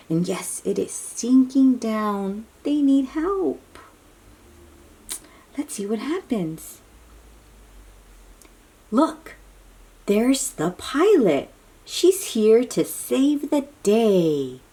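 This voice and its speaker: A woman reading a picture book aloud to young children in an animated, breathy, questioning way, drawing out her words. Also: a little high. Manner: animated, drawn-out, questioning delivery aimed at little children. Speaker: children's read-aloud host.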